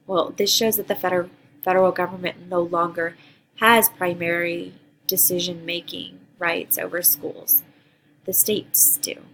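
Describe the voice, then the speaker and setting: Low-voiced woman giving a class intro video. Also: a little hiss or hum, low-quality recording. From Costa Rica. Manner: earnest, reads prepared answers with some ums. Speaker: teacher and graduate student. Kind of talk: reading a course introduction video.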